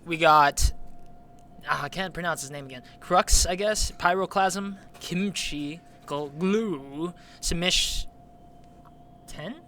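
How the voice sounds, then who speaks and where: A man's voice: a little high, slow pace, sharp tone. A young man co-casting a video game match, laid-back and laughing often, unsure of player names. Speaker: esports co-caster. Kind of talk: casual color commentary. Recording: faint background noise.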